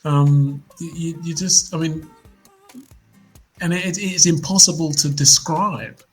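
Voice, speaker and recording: A man in his fifties, a musician guest, reflecting on his life in relaxed, hesitant interview talk. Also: a little high, slow pace, slightly rough, soft background music. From United Kingdom. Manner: reflective storyteller, hesitant restarts. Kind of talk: casual interview chat.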